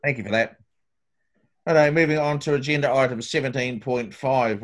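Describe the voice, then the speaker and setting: A man chairing a council meeting, polite and hesitant with many fillers. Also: mid-range voice.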